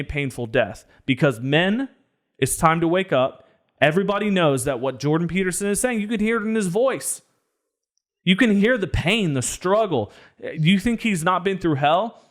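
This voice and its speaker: A young man co-hosting a podcast, talking in an earnest, preachy, motivational way. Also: on the high side. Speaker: podcast co-host. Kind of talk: casual podcast discussion.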